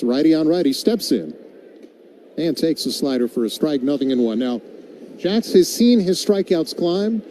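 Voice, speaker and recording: Male baseball announcer, very dark mellow tone, slightly high pitch, live play-by-play, faint outdoor noise behind. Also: low-quality recording. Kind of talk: live radio baseball commentary. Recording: background noise of an outdoor ballpark.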